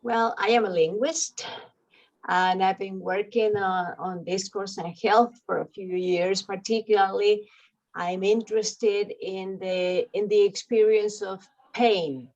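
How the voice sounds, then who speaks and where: A woman from Argentina describes her research on a video panel, speaking carefully and pausing to find words. Her audio sounds dull and lo-fi. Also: medium pitch, fairly even intonation, a little mellow. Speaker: linguist. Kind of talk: video call introduction.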